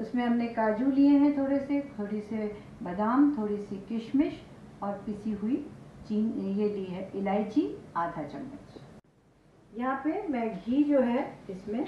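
Older Indian woman, echoey kitchen cooking lesson. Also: fairly high pitch. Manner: instructional, explains step by step. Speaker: chef, cooking show host. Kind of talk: cooking demonstration to camera. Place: home kitchen, indoors. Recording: echoey, muffled kitchen recording with noise.

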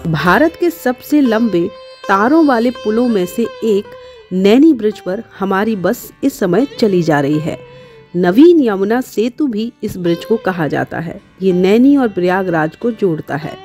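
A woman's voice: medium pitch, expressive, a little slow, a little mellow, soft background music. A woman narrates a travel vlog, devotional in manner and speaking straight to viewers.

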